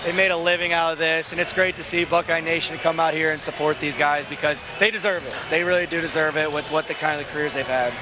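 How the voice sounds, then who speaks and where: A young man with a raspy voice, talking excitably as a winning coach in an interview, with a self-deprecating manner. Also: on the high side, fast talker, few pauses, background noise.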